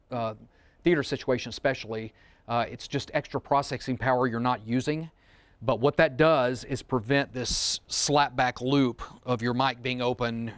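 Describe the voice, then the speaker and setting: A man's voice: medium pitch, no room sound. A middle-aged man leading a seminar, explaining a product in an informal, plain-spoken way with casual asides. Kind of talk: informal technical product demonstration. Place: studio room, seated at demo table among a small group. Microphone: wireless mic, close.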